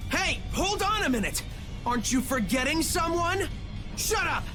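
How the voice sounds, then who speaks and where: A man's voice: extremely high pitch, very animated, moderate pace, music in the background. An actor playing a manic male assassin in a video game, taunting his rival with gleeful, unhinged glee.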